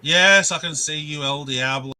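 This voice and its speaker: Guy ranting on his live stream, real bright voice, kinda high, rambly and sweary, keeps greeting chat. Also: moderate pace.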